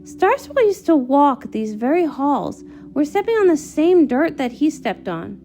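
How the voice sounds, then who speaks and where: An eager, studious young unicorn princess , played by a woman, high in pitch and very animated. She sounds earnest and excitable, like a comic being read aloud, with music behind. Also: a little slow, full character voice. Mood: excited. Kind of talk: comic read-along character acting.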